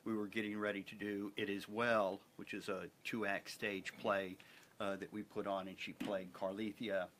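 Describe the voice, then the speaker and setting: A middle-aged American man talking as an interview guest in a halting, reflective way, often repeating phrases. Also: fairly low pitch, little pitch movement, fairly bright tone, a little hiss or hum. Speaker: theatre producer and interview guest. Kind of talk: conversational interview talk.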